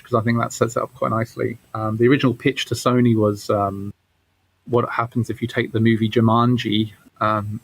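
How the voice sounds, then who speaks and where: A man in a casual interview, speaking as a game developer. His voice is mid-pitched and a little husky. He explains things informally, often circling back to rephrase a thought. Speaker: game developer and studio director, interview guest.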